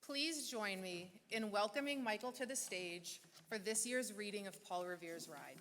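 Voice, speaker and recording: A woman hosting a gala, giving a scripted speech with measured, deliberate pauses. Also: medium pitch, noisy background. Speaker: event host. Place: large church hall. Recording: long reverb of a large hall.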